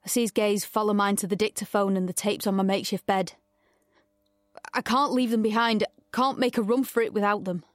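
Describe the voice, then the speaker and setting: A woman's voice: mid-range voice, fairly even intonation, a little fast, dry recording, clean studio sound, close to an everyday voice. An actress playing a wary, defiant young woman in a dystopian audio drama, in both dialogue and intimate narration. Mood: tense, shaken. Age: young adult.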